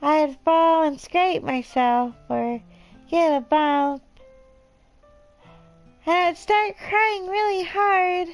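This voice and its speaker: A woman voicing a sweet, clumsy, sentimental teenage girl in a visual novel read-aloud, with soft, earnest delivery. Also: very high voice, very slow, pauses often, soft background music, pitched above the performer's own voice, exaggerated voice, rough recording. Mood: wistful, tender.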